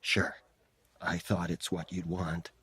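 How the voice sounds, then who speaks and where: Brief: man as gentle middle-aged father, deep and husky, coaxing. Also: monotone, slow, heavily acted. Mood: tender. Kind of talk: audio drama acting.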